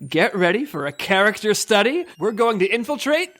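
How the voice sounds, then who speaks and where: A woman's voice: mid-range voice, sing-song, brisk, fairly bright tone. A woman playing an officious, easily exasperated agent in charge in a comic audio drama, with a fussy, insistent delivery.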